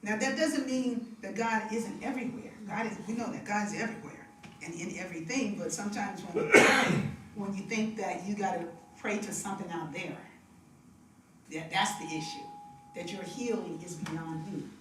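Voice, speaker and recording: A woman's voice: medium pitch, noisy background. A woman hosting a live devotional, chatting and telling stories with lots of laughter, using a stage mic in a music room. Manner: chatty, laughing, drawn-out storytelling. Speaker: devotional host and singer. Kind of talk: casual spiritual talk with storytelling. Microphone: stage microphone with monitor feedback. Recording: echoey room from far off.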